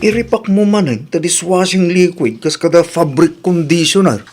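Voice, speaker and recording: Nagging Ilocano husband, highish male voice, acted. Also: normal speed, a little mellow, soft background music, natural voice. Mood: complaining. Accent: Ilocano Filipino. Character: nagging practical husband who insists on quality. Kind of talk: Ilocano radio comedy drama acting.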